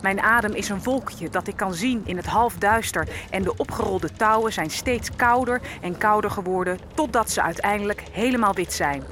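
A woman's voice: medium pitch, normal speed, few pauses, fairly bright tone, a little hiss or hum, close to an everyday voice. An actress playing a grieving enslaved African woman held on a ship, telling her story solemnly and poetically in a radio play. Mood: solemn, bleak. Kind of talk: dramatic first-person radio play narration.

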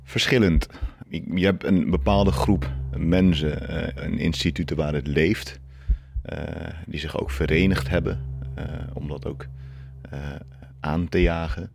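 Very deep, gravelly male interviewee. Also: steady tone, slight background noise. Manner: hesitant, pausing between phrases. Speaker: interviewee, foundation director. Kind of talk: measured spontaneous interview answer.

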